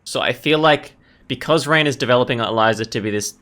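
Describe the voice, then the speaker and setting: A man's voice: medium pitch, a little fast, pushed and bright. A man hosting a gaming video, giving casual, rambling commentary as he thinks aloud. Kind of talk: casual let's play commentary.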